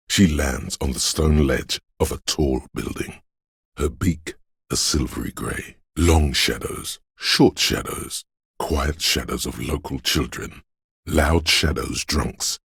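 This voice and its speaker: A man voicing a brooding, all-seeing city spirit in a game, husky and gravelly, narrating in slow, solemn, poetic lines. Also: very deep voice, no room sound, studio quality, heavily acted. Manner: ominous, solemn, measured, hushed. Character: Shivers, brooding omniscient spirit voice of a windswept city.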